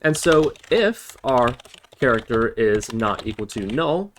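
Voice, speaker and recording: A man's voice: medium pitch, big pitch swings, slow, slight background noise. A man narrating an informal screen-recorded coding tutorial as the instructor, explaining each step and thinking aloud as he works.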